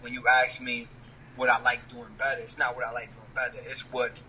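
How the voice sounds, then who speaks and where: Bright-voiced New rapper, laid-back slang. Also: male voice, medium pitch, monotone, a little fast, slight background noise, low-quality recording. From New York. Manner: laid-back street slang, keeps asking "you get what I'm saying?". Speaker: rapper, interview guest. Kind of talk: casual interview talk.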